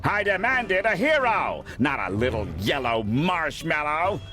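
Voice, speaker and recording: A man's voice: a little high, sing-song, unhurried, sharp tone, faint music behind, exaggerated voice. A man playing a haughty, insulting film director in a cartoon game, theatrical and full of disdain. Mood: disdainful, exasperated.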